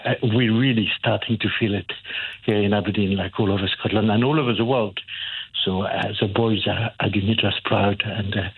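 An older man from France with a French accent, calling in to a radio phone-in and talking haltingly with fillers. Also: fairly low pitch, slightly rough. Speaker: caller. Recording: tinny phone line.

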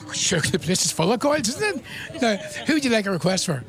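A man's voice: high-pitched, big pitch swings, quick pace, fairly bright tone. A Northern Irish man hosting jokey, teasing interviews with people in a crowd at an outdoor event. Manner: jokey, bantering. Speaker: roving radio host doing crowd interviews. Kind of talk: teasing casual vox-pop interviews.

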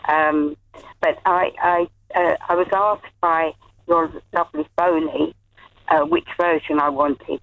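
A woman's voice: deep voice, gravelly, no room sound. Hesitant woman caller on a tinny phone line. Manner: hesitant, many ums and restarts. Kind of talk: phone-in chat.

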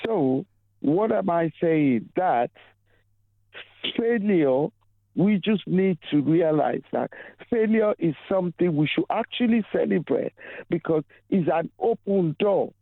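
An earnest male caller speaks slowly and emphatically, sharing life lessons as he goes. His voice sits a little high. It comes through a thin, tinny phone line. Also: expressive, no room sound. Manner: earnest, philosophical, slow emphatic phrasing. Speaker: radio caller. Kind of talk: phone-in call. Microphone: telephone.

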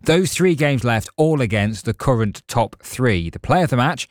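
Dry-voiced sports newsreader. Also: male voice, medium pitch, lively intonation, no echo. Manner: brisk, scripted. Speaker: sports news presenter. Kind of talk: read sports bulletin.

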